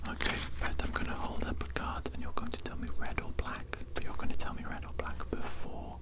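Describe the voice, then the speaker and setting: Whispering ASMR performer, softly coaxing. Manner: whispers slowly, repeats phrases, coaxing the listener. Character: evil doctor running odd mind experiments on a captive.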